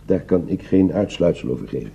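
A man's voice: deep voice, animated, a little fast, vocal fry, dark tone, breathy edge, dull audio, heavily acted. An actor playing a detached male asylum official in a radio drama, speaking in formal, bureaucratic phrases.

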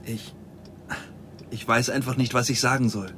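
An actor playing a flustered, apologetic official in a radio drama, speaking politely and a little hesitantly. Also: male voice, medium pitch, steady tone, normal speed, bright, forceful tone, a little hiss or hum, close to an everyday voice. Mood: apologetic, awkward. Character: apologetic, flustered male official escorting an old woman. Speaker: supporting character.

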